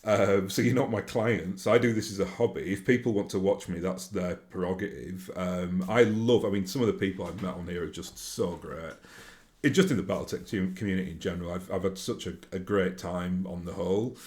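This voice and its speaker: Deep-voiced Yorkshire man, rambling chat. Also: a little fast, darkish tone. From Yorkshire, England. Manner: rambling, with many fillers and restarts. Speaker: YouTube host. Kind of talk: casual solo monologue.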